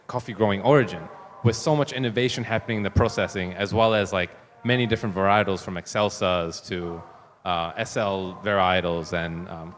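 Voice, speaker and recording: A man from New Zealand talking as a panel guest, relaxed and easygoing, with lots of fillers. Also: fairly low pitch. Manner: relaxed, laughs easily, many fillers. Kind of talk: conference panel talk. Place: conference hall. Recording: hall reverb with faint room noise.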